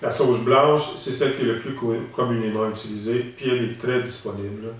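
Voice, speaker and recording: Male instructor, calm and explanatory with hesitant pauses; distant mic in a very reverberant room. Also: medium pitch. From Saskatchewan, Canada. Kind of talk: instructional demonstration.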